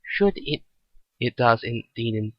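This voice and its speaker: A man voicing a determined, caring young female mechanic in a story reading, with earnest, halting delivery. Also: medium pitch, expressive, no echo, muffled recording. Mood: resolute. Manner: earnest, halting inner monologue.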